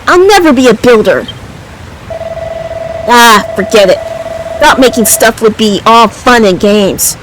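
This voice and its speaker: A woman voicing a brash, grumpy, impatient young man from a video game, talking bluntly and casually. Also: fairly high pitch. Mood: frustrated. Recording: clearly noisy background.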